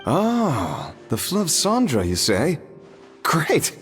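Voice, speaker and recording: A woman's voice: sits low, wildly swooping pitch, very slow, in a large hall, soft background music, heavily acted. An actress playing a breezy, knowing woman in a video game, with a casual, wry delivery.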